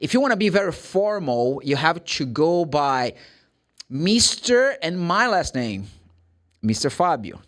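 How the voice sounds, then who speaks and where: A man with a Brazilian accent and Portuguese as his first language gives an informal English pronunciation lesson. His voice sits a little high and moves up and down in a very lively way. He speaks emphatically, posing questions and answering them himself like a classroom teacher. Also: unhurried. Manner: emphatic, asks and answers own questions, acts out short examples.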